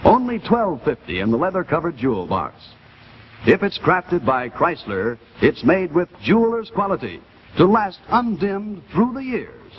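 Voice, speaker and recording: A man's voice: sits high, big pitch swings, unhurried. An American man announcing on 1950s television with a polished sales delivery. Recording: dull 1950s TV kinescope, faint noise.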